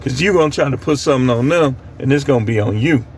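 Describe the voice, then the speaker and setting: A man's voice: medium pitch, fast, slight background noise. An American man preaching informally on scripture in a plain-spoken, admonishing way, repeating phrases for emphasis. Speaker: street preacher.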